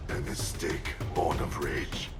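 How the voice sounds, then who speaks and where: Undead ninja, low voice, grave, measured, formal, video game drama, background music. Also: male voice, steady tone. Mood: earnest, grave. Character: grim, solemn male undead ninja seeking redemption. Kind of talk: video game cutscene acting. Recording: music behind.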